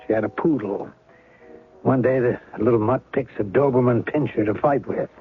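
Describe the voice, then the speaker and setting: A man's voice: mid-range voice, moderate pace, close to an everyday voice. An actor playing a bitter, sarcastic middle-aged ex-husband and spy in a 1970s radio drama, curt and biting in his delivery. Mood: sardonic. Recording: tinny band-limited 1970s radio, faint music.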